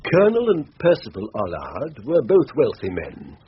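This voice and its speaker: A dusty, elderly English solicitor in a radio play. He is formal and precise with a lively rise and fall, heard on a dull, faintly noisy recording. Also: medium pitch, moderate pace. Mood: dry explaining. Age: older. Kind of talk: radio drama acting.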